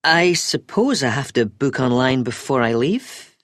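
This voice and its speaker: A woman's voice: extremely low pitch, lively intonation, measured pace, dry recording, clean studio sound. A woman playing an agreeable, inquiring customer planning a trip in a scripted language-test dialogue, a little hesitant and questioning.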